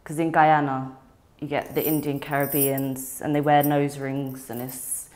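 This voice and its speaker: A woman's voice: extremely low pitch, measured pace. A young woman being interviewed in a studio, talking frankly and casually with frequent ums and easy laughter. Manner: frank, relaxed, laughs easily, many ums.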